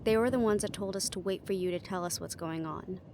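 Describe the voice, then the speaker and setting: A woman's voice: medium pitch, fairly quick, background noise, natural voice. A woman playing a guarded, weary young team leader in a fantasy audio roleplay, with a dry, casual delivery. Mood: defensive.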